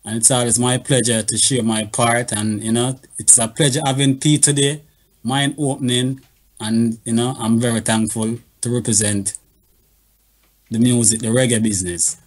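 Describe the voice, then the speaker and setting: A man's voice: medium pitch, fairly even intonation, normal speed. Earnest man on panel. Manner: earnest, patois phrasing, lots of "you know". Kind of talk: casual radio chat. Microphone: video call.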